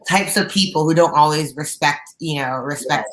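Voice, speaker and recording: Low raspy-voiced young woman. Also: moderate pace, echoey room, slight background noise, low-quality recording. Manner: open, reflective, many fillers and restarts. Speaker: guest singer. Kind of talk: casual interview chat. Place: bright living room at home. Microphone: video call.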